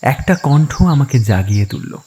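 Male storyteller, mellow dark tone, animated pitch, halting dramatic pauses, suspenseful first-person horror narration. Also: breathy edge.

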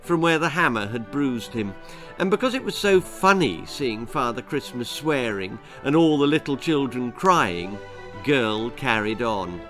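Slow, expressive older man telling stories. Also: medium pitch, soft background music, poor audio. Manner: theatrical, deliberate. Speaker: author reading his own stories. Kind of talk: dramatic children's story narration. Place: small book-lined room indoors.

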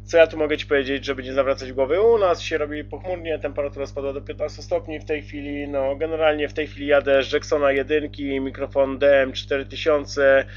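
A man's voice: fairly high pitch, flat delivery, a little fast, few pauses. Chatty man on dull radio audio. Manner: courteous, chatty, uses radio jargon. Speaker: amateur radio operator. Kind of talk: casual radio contact chat.

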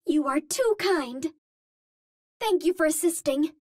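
Very high voice, cute young Martian girl heroine, unhurried, lively intonation, earnest, polite, precise formal wording, video game. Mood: polite, eager. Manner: precise, formal phrasing without contractions. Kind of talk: video game character voice lines.

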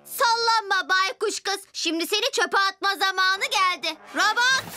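An actor voicing a theatrical, mocking boy mad scientist in a dubbed cartoon, with loud music underneath. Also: very high-pitched, normal speed. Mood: gloating. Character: gloating boy mad-scientist villain with evil inventions. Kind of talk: animated cartoon dubbing.

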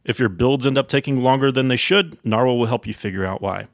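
A man's voice: medium pitch, fairly quick, gravelly, no room sound, studio quality. A man, giving a keynote product presentation at a tech conference. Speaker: company co-founder giving keynote. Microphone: microphone close in front of mouth.

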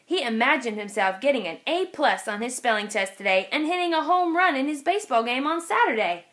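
A woman with a high voice reads a children's picture book aloud. Her delivery is expressive and very lively, rising and falling as she tells the story. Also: a little fast. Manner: expressive, animated reading. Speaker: storybook reader.

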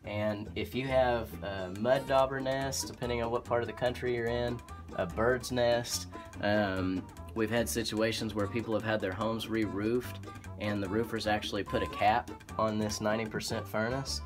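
A man with an Oklahoma accent, an HVAC technician explaining furnace repair in a relaxed, practical way, with frequent ums and restarts. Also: fairly low pitch, moderate pace, music in the background. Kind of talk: instructional how-to explanation. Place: indoor utility closet by a furnace.

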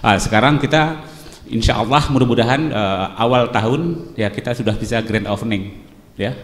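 A middle-aged man from West Sumatra, a hotel director explaining his hotel on a studio talk show, pausing often with filler sounds, speaking into a handheld mic. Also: medium pitch. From Pesisir Selatan, West Sumatra. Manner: hesitant, many filler pauses, explanatory. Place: television studio set. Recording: roomy studio with long reverb, faint noise.

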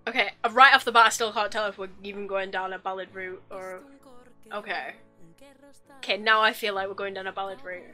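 Young woman, reaction host, bright gushing chatter, desk mic at home. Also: mid-range voice, steady tone, fairly quick, faint music behind. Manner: chatty, gushing, self-correcting restarts. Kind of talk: casual reaction commentary. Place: small home room indoors. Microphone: desk microphone, wearing headphones.

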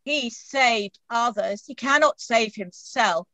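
A woman acts out a scornful priest in a scripture drama. The voice is fairly high and sharp, in a dry sound. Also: takes it slowly, dry recording, muffled recording, heavily acted. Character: scornful chief priest mocking a crucified man.

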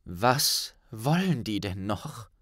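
Guy acting a hurt young male wizard in an audiobook, breathy when upset, impatient, swingy pitch. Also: very animated, slow, fairly bright tone, no room sound, studio quality, full character voice. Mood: weak, bitter. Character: injured, agitated young wizard, bitter and impatient.

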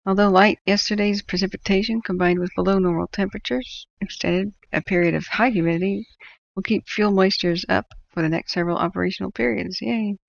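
A woman's voice: medium pitch, fairly even intonation, a little fast, gravelly, highs cut off. A woman with an American accent reading updates informally on a livestream, chattily correcting herself and adding side comments. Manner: chatty, self-correcting, interjects small reactions. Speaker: livestream host tracking wildfires.

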